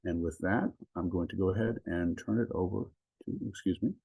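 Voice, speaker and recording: Male law professor hosting a webinar, low mellow voice, measured with ums, video call. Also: creaky, slightly rough, poor audio. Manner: measured, with frequent ums and restarts. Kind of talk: webinar introduction.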